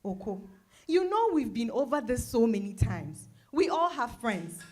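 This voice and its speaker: A woman playing a weary, wounded but defiant career wife, deliberate and emotive, in a dramatized novel reading. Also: fairly high pitch, big pitch swings. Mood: weary, firm.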